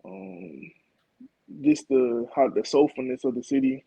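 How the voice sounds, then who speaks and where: A young man from Florida, a college football player, answering interview questions in a relaxed way. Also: mid-range voice, measured pace, gravelly, husky, no room sound, dull audio. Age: young adult. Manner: relaxed, laid-back, many fillers like "like" and "man".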